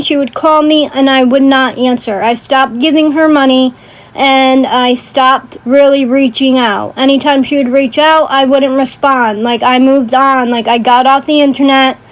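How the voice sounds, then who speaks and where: A woman's voice: high-pitched, low-quality recording. A woman ranting casually on a livestream in a blunt, sweary way, often checking in with emphatic tag questions. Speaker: livestream host.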